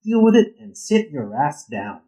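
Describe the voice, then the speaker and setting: High-pitched exasperated young male umpire, echoey. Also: sing-song, moderate pace, warm tone. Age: 30s. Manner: blunt, exasperated, sarcastic. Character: exasperated, sarcastic umpire in his early thirties. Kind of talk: naturalistic audio drama acting. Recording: roomy, echoing sound.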